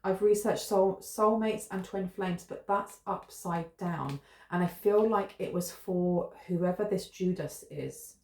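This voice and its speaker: A woman's voice: fairly low pitch, takes it slowly, few pauses, a little mellow. Musing British woman in an echoey room. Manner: musing, meandering, thinking aloud with fillers. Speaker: tarot reader. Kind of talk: casual tarot reading to camera. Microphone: camera close in front.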